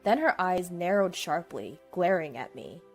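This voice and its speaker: A woman's voice: lowish voice, very animated, a little slow, soft background music. A woman reading a scripted first-person story as its narrator.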